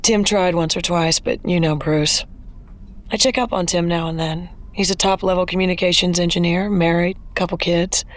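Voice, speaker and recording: A woman's voice: on the low side, steady tone, normal speed, lightly acted. A woman playing a weary, matter-of-fact older female police commissioner in an audio drama.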